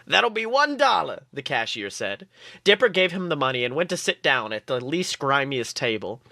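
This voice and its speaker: A man hosting a show, reading comic fan fiction aloud in an expressive, playful storytelling way. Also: medium pitch, normal speed, dry recording, studio quality. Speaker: podcast host.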